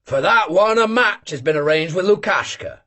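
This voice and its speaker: A man's voice: sits high, animated, moderate pace, sharp tone, pitched above the performer's own voice. A man playing a bellowing old Cossack joker. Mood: teasing. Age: older. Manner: bellowing, teasing, emphatic. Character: boisterous old male Cossack hunter, a bragging joker.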